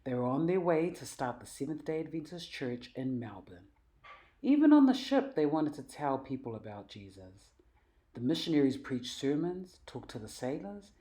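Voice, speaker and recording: A woman reading a children's story aloud in an animated, emphatic, expressive way. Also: low voice. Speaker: storybook reader.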